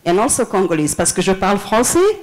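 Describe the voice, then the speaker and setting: A woman in her forties with a Senegalese accent gives public comment at a community meeting. Her delivery is passionate, and her pitch rises and falls in broad, animated swings. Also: fast, low-quality recording. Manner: passionate, animated, laughs often.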